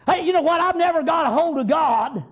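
A man preaches in a very high-pitched voice with a Southern US accent. His delivery is fervent and unscripted, moving between Bible reading and homespun retelling, punctuated with folksy exclamations. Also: animated, fairly quick. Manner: folksy, exclamatory, repeats praise God and amen as punctuation.

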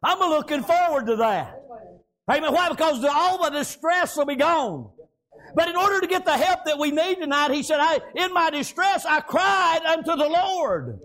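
High-pitched, shouting male preacher. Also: expressive, normal speed. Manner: impassioned, shouting, exhortatory; punctuates phrases with amen and praise God. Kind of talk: fervent revivalist church sermon.

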